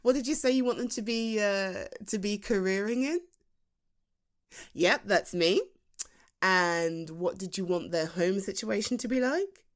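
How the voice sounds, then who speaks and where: A British woman playing a teasing, self-deprecating best friend in her late thirties in a chatty, halting ASMR roleplay monologue. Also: mid-range voice, lightly acted. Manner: halting, chatty, with sighs and chuckles. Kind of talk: ASMR roleplay acting, intimate conversational monologue.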